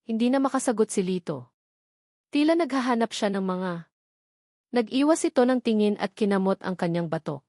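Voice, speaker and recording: A woman's voice: mid-range voice, lively intonation, normal speed, long pauses, a little mellow, no echo, studio quality. A woman with a Filipino accent reading scripted narration of a romance story.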